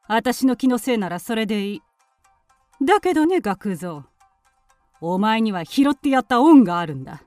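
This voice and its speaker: A woman's voice: fairly high pitch, darkish tone, no echo. A woman playing a spiteful, suspicious middle-aged aunt in a period radio drama, with a haughty, sneering, commanding manner. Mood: threatening. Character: spiteful, suspicious aunt and mistress of a village household.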